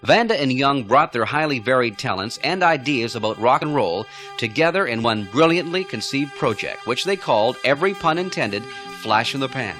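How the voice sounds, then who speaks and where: A man's voice: medium pitch, lively intonation, normal speed, fairly bright tone. A man reading scripted narration for a radio documentary about music, as its presenter.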